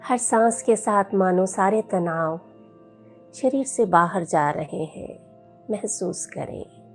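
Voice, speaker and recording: Female meditation guide: very slow, soothing delivery, slightly creaky voice with a soft, breathy edge. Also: mid-range voice, faint music behind. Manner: soothing, gentle, unhurried with long pauses. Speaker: yoga teacher leading guided meditation.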